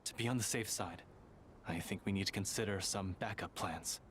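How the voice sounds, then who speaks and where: An actor playing a cautious, dutiful sage in a video game, formal, earnest and measured. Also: male voice, deep voice, a bit bright, a little hiss or hum. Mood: grave. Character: grave dutiful male sage, cautious and courteous.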